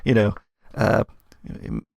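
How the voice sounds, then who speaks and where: Man playing an awkward, easygoing young nonbinary biker, creaky voice. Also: fairly low pitch, huge pitch swings, a little mellow, no room sound, studio quality, full character voice. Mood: awkward, rambling. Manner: halting, rambling, slangy.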